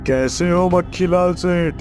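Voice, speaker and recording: A man's voice: sits high, unhurried, darkish tone, soft background music. A man voicing a stern, scornful, all-knowing old sage in a story cartoon, declamatory and accusing. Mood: mocking, knowing. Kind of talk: acted dialogue in a moral story cartoon.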